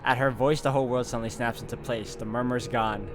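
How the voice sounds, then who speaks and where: A man's voice: mid-range voice, fairly even intonation, fast talker. A man doing a let's play, reading visual novel narration aloud in a dramatic storytelling way with casual asides. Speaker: gaming YouTuber. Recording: game music behind the voice. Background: guitar game music.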